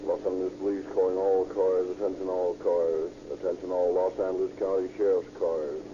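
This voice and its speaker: Guy doing a stern old-time radio cop dispatcher, really low, clipped and official, hissy dull recording. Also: a little fast, natural voice. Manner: terse, official, monotone announcing. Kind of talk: 1930s radio drama police bulletin.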